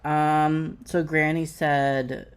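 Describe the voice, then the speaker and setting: A man conducting a casual family interview, speaking haltingly and rephrasing as he goes. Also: a little high, little pitch movement, smooth.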